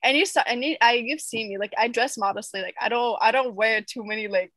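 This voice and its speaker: A woman's voice: on the high side, fairly quick, fairly bright tone, no echo. A young woman co-hosting a casual chat, talking with lots of fillers and laughing easily. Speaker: podcast co-host.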